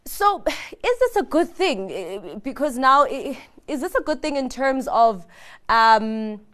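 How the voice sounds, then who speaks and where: A woman hosting a news interview who asks probing questions with frequent hesitations. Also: fairly high pitch. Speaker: interviewer host.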